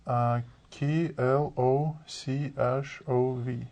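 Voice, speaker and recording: A man from Russia with a Russian accent, a candidate in a speaking exam, talking in halting, broken phrases. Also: medium pitch, fairly even intonation, gravelly, a little mellow.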